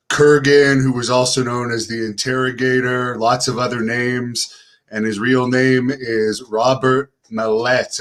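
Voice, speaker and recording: A man from Ontario, Canada, hosting the interview and asking questions in an even, steady way. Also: mid-range voice. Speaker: interviewer, host.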